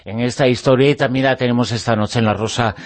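Radio host asking halting questions. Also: male voice, mid-range voice, steady tone. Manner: hesitant questioning with frequent "eh" fillers. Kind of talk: radio interview.

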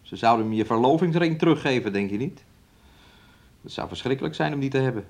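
An actor playing a domineering, resentful young fiancé in a radio play, with a taunting, needling way of talking. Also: male voice, mid-range voice, animated, fast, a little mellow, natural voice. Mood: belittling. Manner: speaks of himself and her in third person, taunting.

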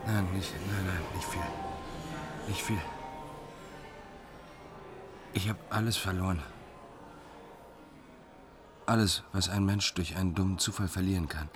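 An actor in a German radio play voicing a proud, cynical fugitive clerk who speaks in a formal, measured, pompous way. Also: male voice, low-pitched, fairly even intonation, music in the background, natural voice. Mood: flustered.

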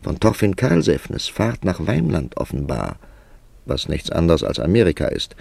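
An inquisitive male narrator in a German radio drama. The voice is very low and creaky, with lively swings of intonation, and it tells the tale in a measured literary manner, recorded dry and close. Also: no room sound, heavily acted. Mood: absorbed narration.

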